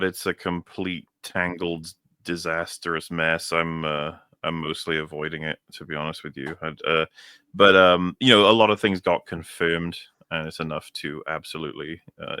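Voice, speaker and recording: A man with a deep voice and a bright, forceful edge chats casually as a podcast co-host. He rambles and restarts his phrases often, recorded very dry. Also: clean tone, dry recording. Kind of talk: casual chat.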